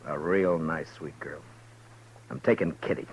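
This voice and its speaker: An actor playing a calm, reflective frontier marshal who narrates in a slow, laconic, measured way, in a 1950s radio drama. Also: male voice, very deep voice, a little hiss or hum. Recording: dull old radio recording with music under narration.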